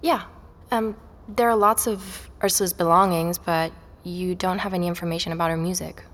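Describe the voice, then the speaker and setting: An actress playing a curious, quietly persistent young woman visitor, speaking tentatively in naturalistic audio drama dialogue. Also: lowish voice, steady tone, normal speed. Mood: tentative, insistent. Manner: tentative, inquisitive. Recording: long hall-like reverb.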